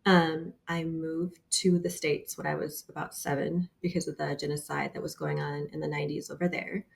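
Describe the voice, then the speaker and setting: A woman in her thirties with a Bosnian accent speaks as an interview guest over a remote call. Her voice sits low and carries a gravelly fry. She talks earnestly, pausing on fillers as she goes. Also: level tone. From Bosnia and Herzegovina. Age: 30s. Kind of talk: casual interview.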